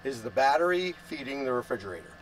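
A man's voice: medium pitch, sing-song, fast talker, a little hiss or hum, rough recording. A middle-aged man at an outdoor campground, enthusiastically explaining his trailer to a handheld camera, with frequent restarts. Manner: enthusiastic, explains gear hands-on with many restarts. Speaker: trailer owner. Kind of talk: casual show-and-tell interview.

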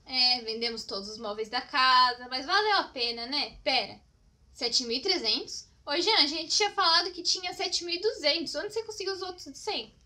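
A woman with a Brazilian accent voicing an excitable, scatterbrained best friend in a children's comedy cartoon, dramatic and full of exclamations. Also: high-pitched, moderate pace. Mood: explaining. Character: excitable, scatterbrained, over-prepared young female best friend.

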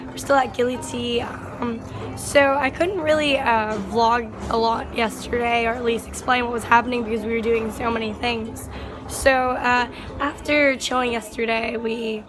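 A young woman with a raspy, strained voice, chatting casually in a travel vlog, rambling with lots of fillers, filmed on a phone at arm's length. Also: a little high. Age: young adult. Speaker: vlogger. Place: hotel room and outdoor terrace on an island. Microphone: phone camera at arm's length. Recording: ukulele music underneath.

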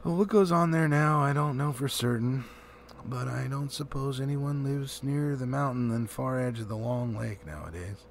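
A man's voice: medium pitch, normal speed, vocal fry, close to an everyday voice. A man voicing a grave, proud old male dwarf leader in a solemn, formal manner for a storybook. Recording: faint background noise.

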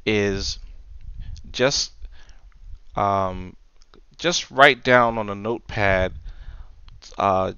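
A man giving a casual software tutorial, explaining things step by step, close on the mic in a voice-over recording. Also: fairly low pitch, clear, resonant tone, dry recording, dull audio. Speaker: tutorial presenter.

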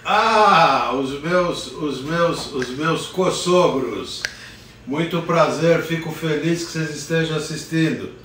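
Older man, slow and roomy, from afar. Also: a little high, a bit bright, far from the mic, slight background noise. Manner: chatty, unhurried, greets viewers by name. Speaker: cooking show host. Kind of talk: casual live cooking demonstration. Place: home kitchen, indoors. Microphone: phone camera at a distance. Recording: roomy kitchen echo from afar.

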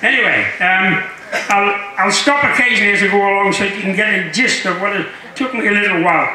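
High, sharp-voiced older man, very echoey. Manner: jovial, chuckling, halting. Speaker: guest reader. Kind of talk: humorous letter reading with asides to an audience. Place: large marquee tent with seated audience. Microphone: handheld mic through speakers, filmed from audience. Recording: very echoey PA in tent.

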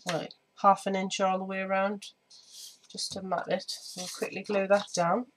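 A woman with a slightly croaky voice, chatting casually through a scrapbooking tutorial with lots of ums while she works at a craft table. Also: mid-range voice, flat delivery, moderate pace, noisy background. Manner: chatty, many ums, hands busy while talking. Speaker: scrapbooking vlogger. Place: indoor home craft table. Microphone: overhead camera on desk, speaker off screen.

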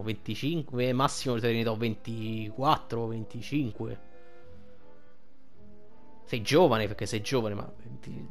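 A man giving casual, rambling, sweary game commentary as a streamer. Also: mid-range voice. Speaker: gaming streamer.

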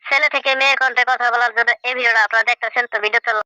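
A young woman, voiced by a man through a voice changer in a demo clip. The processed voice is extremely bright and very quick. It sounds smooth and dry. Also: extremely high pitch, level tone, dry recording, voice pushed up, full character voice, low-quality recording. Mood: processed, brisk. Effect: voice changer, male to female.

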